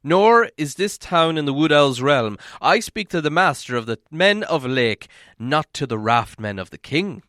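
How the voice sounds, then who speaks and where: A man voicing a proud exiled dwarf king in an audiobook, declaiming solemnly and loudly. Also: a little high, expressive, measured pace, few pauses, no echo, studio quality, pitched above the performer's own voice. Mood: indignant, stately. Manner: solemn, grandly declaiming, loud. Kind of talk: audiobook character acting.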